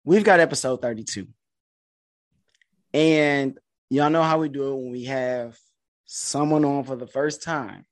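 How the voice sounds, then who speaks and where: A man in his thirties hosting a podcast in casual chat, rattling off quick, hyped housekeeping. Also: medium pitch. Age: 30s. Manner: hype, quick housekeeping patter. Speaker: podcast host.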